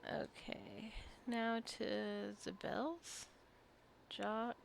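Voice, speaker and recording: Gravelly-voiced woman rambling over gameplay. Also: mid-range voice, steady tone, very slow. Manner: rambling, thinking aloud. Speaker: gaming video creator. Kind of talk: casual unscripted gameplay commentary. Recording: clicking and faint background noise.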